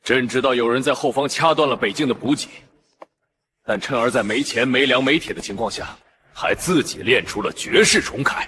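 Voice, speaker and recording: A male actor as a stern emperor in an animated drama. His mid-pitched voice is forceful and bright, with a commanding, declamatory delivery. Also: brisk, lightly acted. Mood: indignant. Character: stern, imperious emperor rebuking his court. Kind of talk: Chinese animated web drama voice acting.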